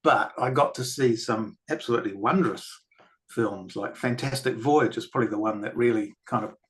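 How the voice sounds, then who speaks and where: A man's voice: medium pitch, fairly bright tone. Reflective older New Zealand man. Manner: reflective, unhurried storyteller. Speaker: guest filmmaker and author. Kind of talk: podcast interview.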